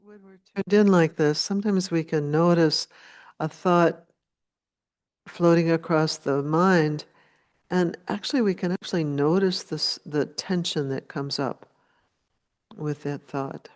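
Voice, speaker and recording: A woman meditation teacher guides listeners at a notably slow pace. Her delivery is gentle and unhurried, with short phrases set apart by long pauses. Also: low voice, fairly even intonation.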